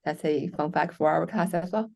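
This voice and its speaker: A woman's voice: sits low, moderate pace, vocal fry, mellow. A woman in her twenties from Shanghai, China, introducing herself casually as a student on a video call. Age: 20s. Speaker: graduate student panelist. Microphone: video call microphone.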